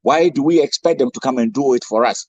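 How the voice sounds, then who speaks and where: A man's voice: mid-range voice, quick pace, a little mellow, no room sound, muffled recording. A man from Ghana with a Ghanaian accent, giving a rousing speech as a guest speaker, full of rhetorical questions and repetition. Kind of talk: impassioned speech.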